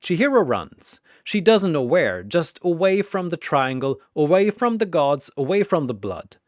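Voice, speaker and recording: A man's voice: fairly high pitch, big pitch swings, unhurried, a little mellow, dry recording, clean studio sound. A man narrating a scripted fantasy battle in a dramatic storytelling style.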